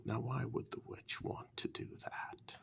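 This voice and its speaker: A man playing a sly, condescending warlock in a soft-spoken ASMR dark fairytale role-play, patronising and deliberate, with long pauses. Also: medium pitch, fairly even intonation. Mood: amused, condescending. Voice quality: soft, breathy half-whisper with gravelly creak.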